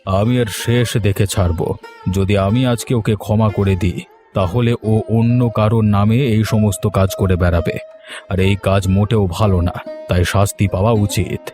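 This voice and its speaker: A man's voice: lowish voice, fairly even intonation, normal speed, music in the background, lightly acted. A man playing a stern, vengeful village landowner in a cartoon story, speaking with authority. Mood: angry, resolute. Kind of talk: dramatic cartoon story dialogue.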